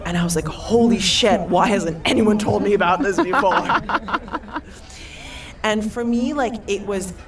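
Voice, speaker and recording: A woman in her thirties, from Eastern Europe, telling a personal story as a panelist in an animated, storytelling way. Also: mid-range voice, a little slow. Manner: animated storyteller, laughs often. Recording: far from the mic, crowd behind.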